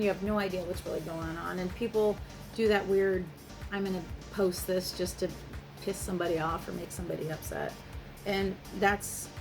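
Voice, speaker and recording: A woman tarot reader speaking directly to the listener in a casual, reassuring advice monologue, swearing freely. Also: medium pitch.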